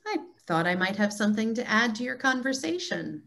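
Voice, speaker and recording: A woman's voice: on the high side, big pitch swings, a little fast, gravelly, noisy background. A woman playing a wry, playful God who chats on a video call, in a reader's theatre comedy. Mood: wry, teasing.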